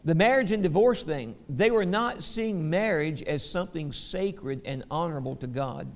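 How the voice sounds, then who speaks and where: A man with a Southern American accent teaching a Bible lesson, folksy in his asides and slow and emphatic when he reads scripture. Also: fairly high pitch, expressive, long reverb tail. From Southern United States.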